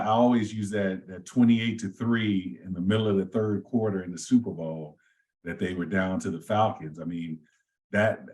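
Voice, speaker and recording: Real estate guy in his 40s, really low deep voice, relaxed rambly chat w lots of "you know". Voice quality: deep voice, told he has a voice for radio. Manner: easygoing, lots of fillers and restarts. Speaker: real estate agent guest. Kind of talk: casual podcast interview.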